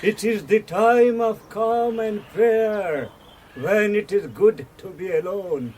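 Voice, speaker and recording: A man's voice: high-pitched, takes it slowly, a little mellow, a little hiss or hum. An actor playing an unctuous holy man in a radio comedy, solemn and beatific. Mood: serene, pious. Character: serene, unctuous Indian guru, self-styled holy man. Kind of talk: British radio comedy drama acting.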